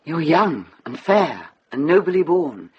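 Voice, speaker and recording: A woman's voice: low-pitched, sing-song, slow, husky, exaggerated voice. A woman playing a shrewd, kindly, mature medieval nun in a radio drama, frank and wry in manner. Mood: grave, compassionate. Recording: dull recording with music behind.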